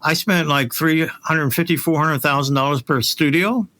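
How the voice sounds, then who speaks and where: A man's voice: on the high side, moderate pace, sharp tone. A man talking casually and emphatically about his industry, as a guest in conversation. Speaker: interview guest, voice casting professional.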